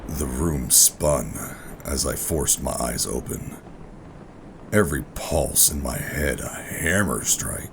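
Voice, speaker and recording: An actor playing a bitter, defiant sci-fi operative in a noir audio drama, brooding and deliberate in delivery. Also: male voice, animated, noisy background, heavily acted. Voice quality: very deep, gravelly. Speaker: narrator and lead character. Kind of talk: noir first-person audio drama narration and dialogue. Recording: dramatic music behind the voice.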